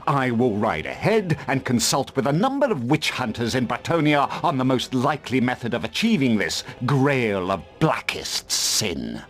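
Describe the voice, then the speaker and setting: Pompous scornful fantasy game zealot, swoopy theatrical delivery, music under it. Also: male voice, medium pitch, sing-song, normal speed, hardly any pauses. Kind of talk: fantasy video game cutscene acting. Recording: 1990s game audio with background music.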